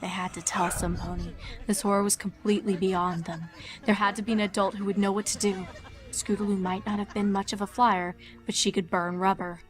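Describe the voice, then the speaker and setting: A woman's voice: medium pitch, little pitch movement, a little fast, faint music behind. A woman reading a scripted horror story as a dramatic narrator.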